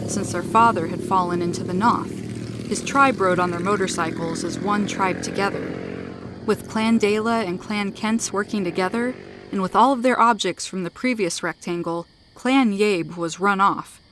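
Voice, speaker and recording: A woman's voice: mid-range voice, background noise. A woman narrating scripted sci-fi fiction in a dramatic storytelling style, close to the microphone.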